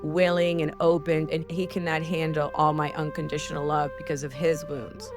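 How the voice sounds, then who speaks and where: Low-voiced woman giving spiritual advice. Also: flat delivery, moderate pace, music in the background. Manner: earnest, heartfelt coaching tone. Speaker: spiritual podcast host. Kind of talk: casual spiritual advice talk.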